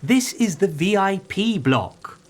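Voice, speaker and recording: An actor playing an earnest, prickly captive insect scientist in a comedic audio drama, pedantic and fervent. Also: male voice, high voice, sing-song, takes it slowly, full character voice. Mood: confiding.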